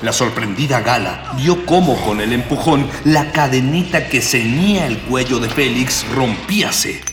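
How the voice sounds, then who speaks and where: A man's voice: mid-range voice, lively intonation, a little slow, hardly any pauses. A man narrating a radio serial in a florid, pompous, declamatory mock-epic style. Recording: long echoing reverb, music behind.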